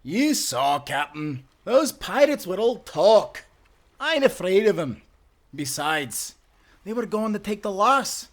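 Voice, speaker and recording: A defiant Scottish adventurer played by a man in an audio drama. His voice sits high for a man and moves up and down a lot. He is bold and swaggering. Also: very animated, slow, heavily acted. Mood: scornful, defiant.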